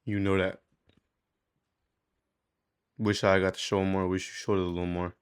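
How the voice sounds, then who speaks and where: A man's voice: low-pitched, flat delivery, moderate pace, clean tone. A man, speaking candidly in a rambling, slangy, sweary way, as in a casual confessional video diary. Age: 20s. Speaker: vlogger.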